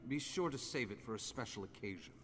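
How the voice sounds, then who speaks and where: Courteous mage in echoing hall. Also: male voice, medium pitch, lively intonation, quick pace, fairly bright tone, husky. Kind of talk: fantasy video game voice acting. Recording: long hall reverb, faint music behind.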